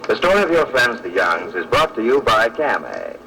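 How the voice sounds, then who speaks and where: A man's voice: medium pitch, wildly swooping pitch, moderate pace, gravelly, poor audio. A man announcing in a theatrical 1940s radio style.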